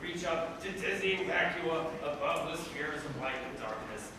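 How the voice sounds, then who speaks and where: A man reads aloud on stage as a comic storyteller, theatrical and mock-solemn. His fairly high male voice comes from a distance in a very echoey room, with clear background noise. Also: expressive, moderate pace. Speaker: storyteller reading to a live audience. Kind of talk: comic literary reading on stage. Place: echoey venue before a live audience.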